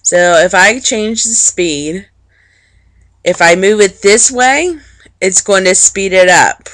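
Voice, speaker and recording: A woman teacher narrating an informal, plain-spoken software walkthrough step by step, explaining things for students. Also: medium pitch, takes it slowly, no echo. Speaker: teacher giving a software tutorial.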